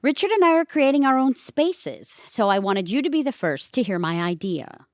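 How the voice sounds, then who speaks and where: Dry middle-aged wife, swinging pitch. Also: female voice, sing-song, normal speed, no room sound. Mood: wry, casual. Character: middle-aged wife and mother, restless, dryly defensive. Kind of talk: comedic audio drama acting.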